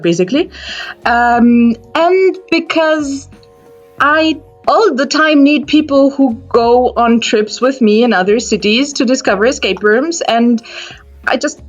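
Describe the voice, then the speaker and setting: A woman from Austria, speaking on a discussion panel about escape rooms and thinking aloud with restarts. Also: on the high side. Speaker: escape room enthusiast panelist.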